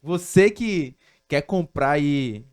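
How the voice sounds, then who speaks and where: A man co-hosting a podcast, giving casual sponsor shout-outs. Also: on the high side, animated, slow pace, clean tone. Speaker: podcast co-host.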